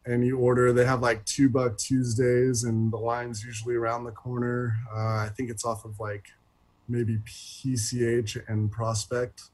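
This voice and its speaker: A man's voice: mid-range voice, level tone, measured pace, darkish tone. A man in his twenties with a Californian accent, a podcast guest chatting casually in an easygoing, slangy way. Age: 20s. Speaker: podcast guest, professional beach volleyball player. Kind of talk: casual chat.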